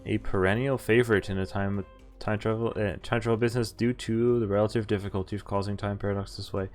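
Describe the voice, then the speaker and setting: A young man with a slightly low voice streams a game. He reads the text aloud informally, sometimes stumbling and rereading, and adds casual commentary. Faint music plays underneath. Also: flat delivery, quick pace, darkish tone. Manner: informal, stumbles and rereads while sight-reading.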